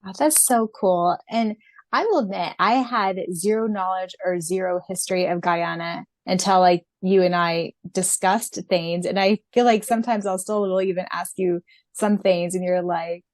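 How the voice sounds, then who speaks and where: A woman's voice: lowish voice, moderate pace, a little mellow, no room sound. A woman with an American accent co-hosting a podcast in a casual, conversational style. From United States. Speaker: podcast co-host.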